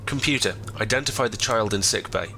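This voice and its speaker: A man's voice: fairly low pitch, a little fast, pushed and bright, soft background music. A man playing a dutiful, skeptical starship officer in a science fiction audio drama, with a clipped, questioning military manner. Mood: businesslike.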